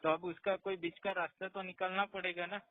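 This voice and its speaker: A man with a noticeably high voice speaks as a loan collection agent. He explains in an insistent, repetitive way. The sound is narrow and tinny, as on a recorded phone call. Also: little pitch movement, brisk. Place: call centre, on a phone line.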